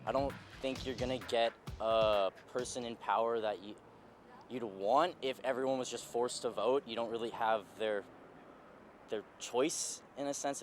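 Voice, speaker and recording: A man's voice: mid-range voice, slight background noise. A man giving a casual, unscripted opinion in a street interview.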